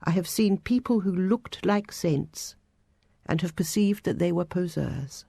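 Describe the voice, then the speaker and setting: A woman's voice: lowish voice, animated, a little slow, gravelly, dark tone, dry recording, exaggerated voice. A woman voicing an anguished, overwhelmed male scholar in a storybook reading, with formal, agitated declamation. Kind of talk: audiobook storytelling.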